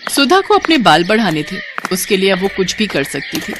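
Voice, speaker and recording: A woman's voice: mid-range voice, big pitch swings, moderate pace, over music. A woman narrating a scripted moral story.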